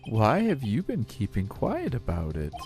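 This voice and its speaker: A man's voice: lowish voice, wildly swooping pitch, measured pace, darkish tone, soft background music, voice pushed up. A man voicing a smug, menacing older police chief from a video game, with theatrical, jovial taunting. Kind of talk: amateur voice acting of game dialogue.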